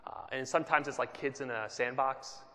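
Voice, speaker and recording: A mid-pitched man delivers a business keynote from a stage. He sounds conversational rather than formal and keeps checking in with the audience. His voice rings out with a long reverb that fills the conference hall. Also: steady tone, fairly quick, pushed and bright. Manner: conversational, checks in with "right?" often. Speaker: keynote speaker.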